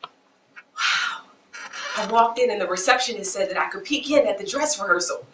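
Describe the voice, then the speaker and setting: A woman's voice: medium pitch, animated, moderate pace, close to an everyday voice. Actress playing an outspoken woman on a distant, echoey stage. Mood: excited, reminiscing. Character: animated woman dancer, outspoken, dismissive wife. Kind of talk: stage play acting. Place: theatre stage. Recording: roomy, noisy stage recording from afar.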